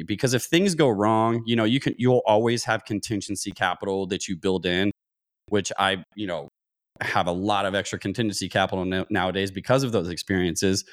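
A man in his thirties explaining things conversationally as an interview guest, thinking aloud and often restarting sentences. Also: on the low side. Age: 30s. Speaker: podcast guest, real estate investor.

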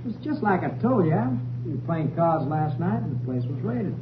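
A shifty, defensive outlaw, with a highish, swooping voice and folksy phrasing. It is an old radio western, echoey in sound. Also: male voice, big pitch swings, normal speed, background noise. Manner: evasive, folksy. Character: shifty, defensive male fugitive killer and smooth liar. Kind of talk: 1950s radio western acting. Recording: echoey, humming, dull old radio recording.

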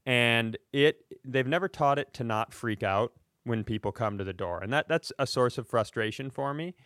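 A man tells a casual comic story in a smooth, clear voice with a very dry, close sound. He is self-deprecating and swears freely, in the style of a comedy podcast host. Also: medium pitch, normal speed, a bit bright, no echo.

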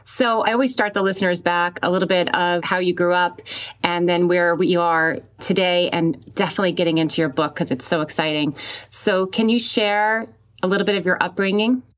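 Low-pitched Long Island woman hosting a podcast. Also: brisk. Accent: Long Island, New York. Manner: enthusiastic, chatty. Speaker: podcast host. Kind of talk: upbeat podcast introduction and interview.

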